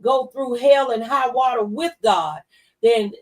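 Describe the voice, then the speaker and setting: A woman's voice: a little high, unhurried, recorded from a distance. Woman speaking earnestly, slightly off-mic. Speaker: talk show guest. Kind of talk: conversational faith-based discussion.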